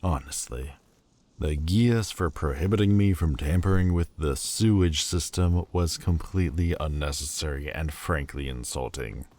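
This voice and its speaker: A man's voice: rumbling low, normal speed, darkish tone. A man voicing a proud, prickly male Lord of Demons in a fantasy audio roleplay, haughty and sardonic with a grudging tenderness. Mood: wistful. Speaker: voice actor.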